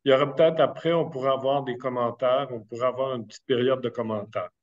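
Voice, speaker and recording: A male moderator with a Québécois accent, polite and brisk, introducing speakers. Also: mid-range voice, steady tone, muffled recording. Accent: Québécois French. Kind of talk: moderating a webinar.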